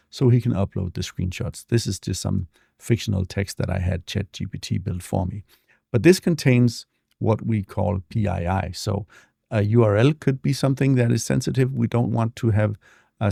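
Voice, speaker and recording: A man walking through a software demo in a relaxed, explanatory way, with frequent fillers, speaking close to a desk mic. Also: on the low side, moderate pace, creaky, no echo. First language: not English. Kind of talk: screen-share tutorial walkthrough.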